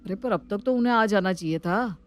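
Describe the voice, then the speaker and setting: A woman's voice: medium pitch, a little fast, lightly acted. A woman playing a considerate, concerned young man in a family story. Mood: worried.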